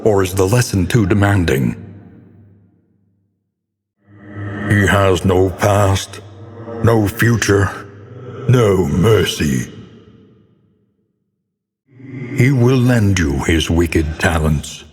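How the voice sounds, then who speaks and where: An actor playing a grave, brooding narrator of a gothic horror game, with solemn, theatrical, deliberate delivery. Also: male voice, deep voice, animated. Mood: stern, foreboding. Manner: ominous, solemn, deliberate, ornate phrasing. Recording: long cavernous reverb.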